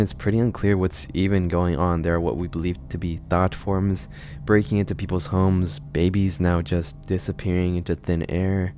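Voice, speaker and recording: A man with a notably deep voice talks through a horror series as a video host. He explains things conversationally, like a narrated essay. A faint low noise sits under the recording. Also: warm tone.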